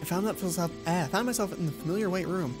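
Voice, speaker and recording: A woman hosts a let's play of a visual novel. She reads the narration aloud and breaks into casual asides. Game music is clearly present under her voice. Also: on the low side, fast.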